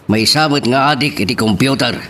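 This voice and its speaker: A man's voice: medium pitch, fairly quick, pushed and bright, slight background noise, natural voice. A man acting a stern, suspicious middle-aged father in an Ilocano audio drama. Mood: grave, concerned. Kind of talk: radio-style drama acting. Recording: music under the voice.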